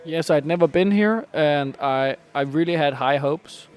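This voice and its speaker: A young man co-hosts a casual food podcast with a wry, mock-formal turn of phrase. His voice sits a little higher than most men's. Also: measured pace, smooth. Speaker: podcast co-host. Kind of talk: casual chat.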